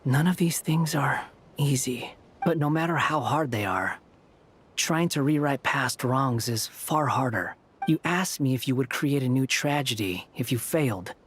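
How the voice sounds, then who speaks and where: A man's voice: medium pitch, unhurried, fairly bright tone, a little hiss or hum, close to an everyday voice. Man voicing an aloof young puppet wanderer. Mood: firm, sober. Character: aloof, guarded young male puppet wanderer, wryly wise. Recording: game music behind.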